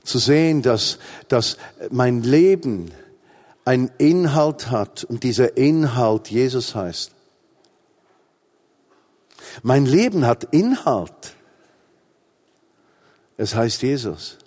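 A man's voice: mid-range voice, expressive, slow pace, darkish tone. A Swiss man in his forties with a Swiss German accent, preaching a humorous sermon with emphatic repetition. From Switzerland. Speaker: pastor.

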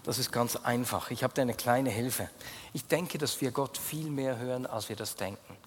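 A man with a Swiss German accent preaching a sermon as pastor, speaking earnestly and directly to his listeners, dropping low and quiet as he prays. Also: normal speed, slightly rough. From Switzerland. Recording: long hall reverb.

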